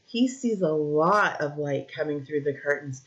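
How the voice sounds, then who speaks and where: A woman reading a picture book aloud to young children like a teacher, explaining simply and pausing to ask questions. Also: low-pitched, animated. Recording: dull, lo-fi recording.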